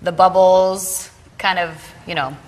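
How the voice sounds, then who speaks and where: A woman's voice: fairly low pitch, fairly even intonation, takes it slowly. A woman hosting an interview in a casual, conversational style. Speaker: interview host. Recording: long hollow room reverb.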